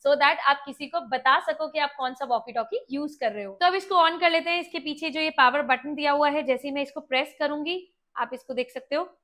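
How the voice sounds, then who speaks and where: A woman's voice: high voice, brisk, hardly any pauses, pushed and bright. A woman with an Indian accent presenting a product demonstration in a conversational, explanatory way, mixing in English words. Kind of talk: walkie-talkie review with demonstration.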